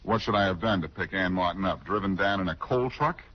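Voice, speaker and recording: Deep-voiced, wisecracking radio sleuth. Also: male voice, brisk. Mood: flippant, unbothered. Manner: flippant, teasing banter. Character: breezy, wisecracking amateur sleuth, adult man. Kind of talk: 1940s radio detective acting. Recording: muffled, hissy 1940s radio recording.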